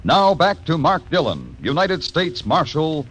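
A man announcing for a 1940s radio network with a lively, formal cadence. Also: fairly high pitch, measured pace, husky, no echo. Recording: old radio broadcast, faint hiss.

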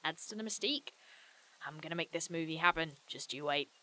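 An actress playing a sardonic, excitable young woman who grumbles and swears her way through an audio drama adventure. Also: sits low, brisk, rough recording. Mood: wry. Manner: sarcastic, grumbling, casually sweary. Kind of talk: naturalistic audio drama dialogue.

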